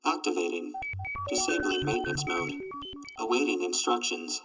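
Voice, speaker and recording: A man's voice: low voice, animated, moderate pace, far from the mic, loud music behind the voice. A man playing an emotionless robot that announces reports in a clipped, mechanical manner for an audio drama.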